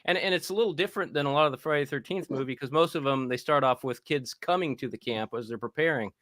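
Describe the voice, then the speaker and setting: A man giving his opinions in a casual panel discussion, thinking aloud in a halting way. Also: on the high side, fairly bright tone, no room sound. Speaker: panel participant.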